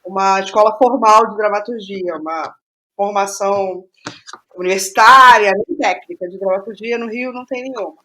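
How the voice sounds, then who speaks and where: Female interview guest, middle-aged, Rio de Janeiro accent, medium pitch, casual storytelling with repetitions and restarts. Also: moderate pace, pushed and bright, poor audio. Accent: Brazilian, Rio de Janeiro. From Rio de Janeiro state, Brazil.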